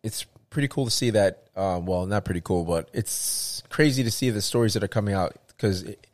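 A man's voice: on the low side, fairly quick, no echo, clean studio sound. A man talking in casual chat as a show host, rambling with many restarts and fillers.

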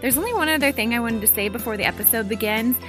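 A woman's voice: on the high side, very fast, over music. A woman hosting a parenting podcast, reading her intro, then interviewing a guest over a video call. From Ohio, USA. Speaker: podcast host.

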